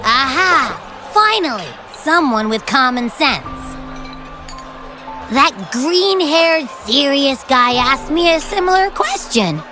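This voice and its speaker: A woman's voice: sits high, expressive, slow pace, over music. A woman voicing a small, childlike creature in a video game, sassy, dramatic and easily indignant. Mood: cheeky, exasperated. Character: small, sassy echo creature, childlike, sleepy, hungry and excitable.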